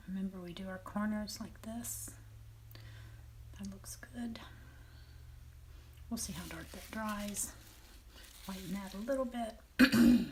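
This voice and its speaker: A woman with a Southern American accent and a raspy, half-whispered voice, calmly talking through a painting demonstration and often clearing her throat. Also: medium pitch, a little hiss or hum. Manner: unhurried, thinking aloud while painting, frequent throat clearing. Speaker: painting teacher.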